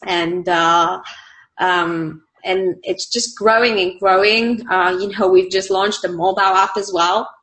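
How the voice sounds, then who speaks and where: A woman's voice: mid-range voice, steady tone, unhurried, a bit bright, rough recording. A woman from Bulgaria with a Bulgarian accent, chatting casually in an interview, bubbly and laughing often between fillers. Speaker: online community manager.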